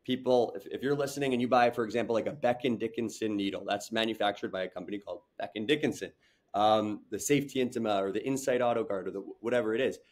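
Young man pitching on a video call. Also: fairly even intonation, darkish tone. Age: young adult. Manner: earnest, fast pitch with many fillers and restarts. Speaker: startup founder, guest. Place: home room with large window.

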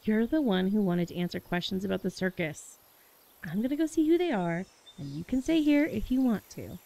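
A woman's voice: mid-range voice, expressive, fairly quick, vocal fry, mellow, slight background noise. A woman playing a friendly, curious, easygoing small-town young woman in a radio play. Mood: determined.

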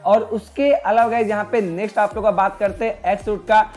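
A man's voice: high voice, steady tone, brisk, a little mellow. A man with an Indian accent doing a casual gaming news voice-over as a YouTube host, repeatedly addressing his listeners. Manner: repetitive, addresses listeners constantly as friends. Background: background music.

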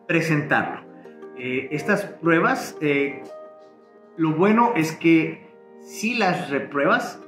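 A man, giving earnest advice to camera as a barber instructor, pausing often with fillers and restarts. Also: on the high side, fairly bright tone, roomy sound. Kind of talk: casual explanatory talk to camera. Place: living room at home, indoors.

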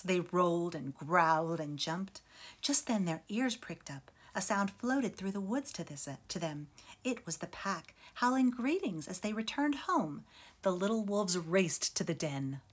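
Teacher reading aloud: woman, gentle and expressive, slightly low, breathy tone. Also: measured pace. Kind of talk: storybook read-aloud.

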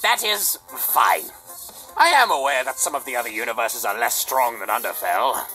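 Woman doing a very low skeleton big brother. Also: animated, normal speed, sharp tone, full character voice. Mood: reassuring. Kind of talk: cartoon comic dub. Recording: played-back video with music behind.

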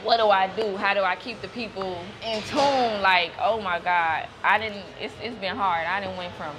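A woman's voice: medium pitch, moderate pace, music in the background, low-quality recording. A woman guest chatting casually, giggly and easygoing, with loose slang. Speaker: guest, gym partner. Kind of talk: casual chat.

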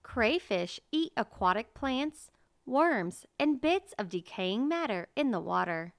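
A woman reading a children's nature picture book aloud as narrator, in a measured, carefully enunciated way. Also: a little high, sing-song, dry recording, studio quality.

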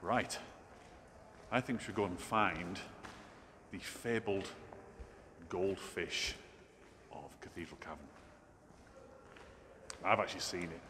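A man's voice: low voice, steady tone, slow, long pauses, bright, forceful tone. A middle-aged man giving chatty, informal commentary for a walking vlog. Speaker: vlogger, hiking guide. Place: outdoors on trails and in a cave. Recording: outdoor ambience and noise.

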